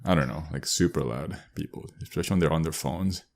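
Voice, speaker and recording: A man's voice: very deep voice, fast, gravelly. A young man co-hosting a podcast, griping casually in blunt, sweary slang. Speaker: podcast co-host.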